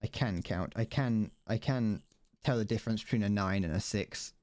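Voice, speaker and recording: A man's voice: lowish voice, moderate pace, a little mellow, dry recording, clean studio sound. A young man streaming as he builds a model kit, chatting casually, rambling, correcting himself and stumbling over his words.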